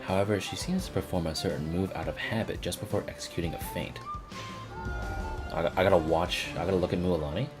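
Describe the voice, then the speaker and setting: A man's voice: low-pitched, fairly quick. A man doing casual live commentary on a game, offhand, thinking aloud and reading on-screen text. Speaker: game streamer. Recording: game music behind the voice. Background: video game music.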